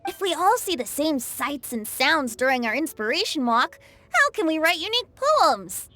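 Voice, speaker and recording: A woman's voice: high voice, big pitch swings, moderate pace, sharp tone, no echo, heavily acted. A woman voicing a playful, breezy young male bard in a video game, with a lilting, teasing, flowery way of talking. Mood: friendly, explaining. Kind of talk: scripted game dialogue.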